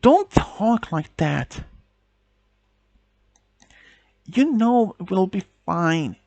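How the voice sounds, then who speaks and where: Man doing a distraught young woman, high pitch, pleading, slow and drawn out, dry close sound. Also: darkish tone, no room sound.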